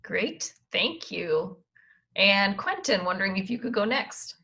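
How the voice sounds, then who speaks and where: Bright-voiced woman hosting a panel. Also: medium pitch, sing-song, a little slow, creaky. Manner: organized, gives instructions, occasional fillers. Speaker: assistant dean of admissions, panel host.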